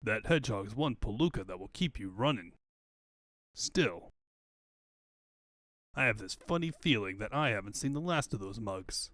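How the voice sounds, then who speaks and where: A man voicing a gruff, suspicious young echidna in a comic fan dub, talking like an old-time wiseguy. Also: lowish voice, animated, normal speed, pauses often, fairly bright tone, no echo, voice pushed down. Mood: wary.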